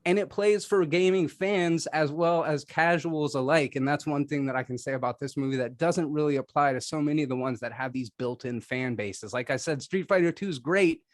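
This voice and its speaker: A man's voice: fairly high pitch, brisk, few pauses, no room sound, clean studio sound. A man making a casual, off-the-cuff debate argument with a sarcastic, wry manner. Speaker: debate contestant, film podcaster.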